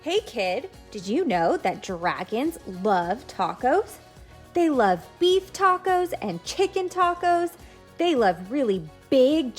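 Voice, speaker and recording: A woman hosting a read-aloud lesson for young children, speaking to them directly in simple words, as a teacher reading a picture book. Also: high voice. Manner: addresses children directly with simple explanations and questions.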